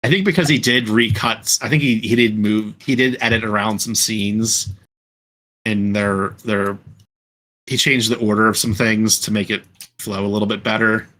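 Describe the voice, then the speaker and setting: A man with a fairly low, bright and forward voice hosts a casual podcast. He rambles and doubles back on his words as he chats with the group. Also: steady tone, moderate pace, rough recording. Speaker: podcast host.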